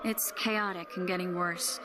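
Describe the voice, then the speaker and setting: A woman playing a composed, knowledgeable sorceress speaking calmly and earnestly in a fantasy game. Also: lowish voice, measured pace, background noise, lightly acted. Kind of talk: dramatic game dialogue.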